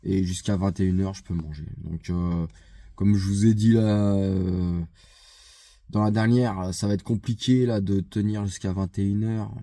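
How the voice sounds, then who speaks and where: Low, slow-talking man with a head cold. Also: steady tone, mellow, dry recording. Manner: hesitant, many fillers and restarts. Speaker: vlogger. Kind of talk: casual vlog chat. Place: outside a gym.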